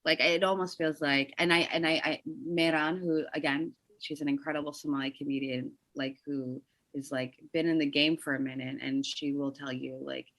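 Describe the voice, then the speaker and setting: A woman playwright and performer as a podcast guest, talking quickly and chattily with lots of "like". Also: low-pitched, steady tone, fairly bright tone, no echo, poor audio. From Toronto, Canada. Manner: quick, chatty, heavy use of "like". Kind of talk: casual podcast conversation.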